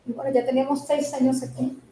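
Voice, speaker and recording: A Venezuelan woman of about fifty tells her story softly, with many small fillers. Her voice is a little higher than most women's and mellow. The room echoes, with faint noise behind her. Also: flat delivery, brisk. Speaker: interview guest. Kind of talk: storytelling in an interview.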